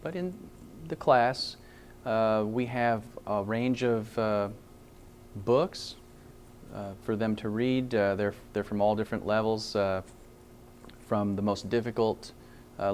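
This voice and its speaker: Hesitant teacher, lowish voice. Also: male voice, fairly even intonation, unhurried, darkish tone, slight background noise. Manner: hesitant, many "uh" fillers. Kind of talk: interview.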